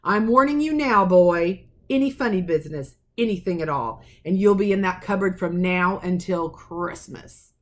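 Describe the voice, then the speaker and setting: A woman voicing a large, bullying uncle in a storybook, loud, emphatic and threatening. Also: fairly low pitch, normal speed, hardly any pauses, close to an everyday voice.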